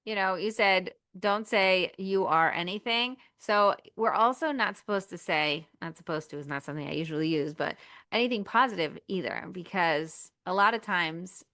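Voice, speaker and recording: A woman's voice: lowish voice, normal speed, pushed and bright, no room sound, clean studio sound. A woman hosting a podcast as a coach, speaking reflectively and with emphasis. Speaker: podcast host, marriage coach. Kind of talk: casual conversation.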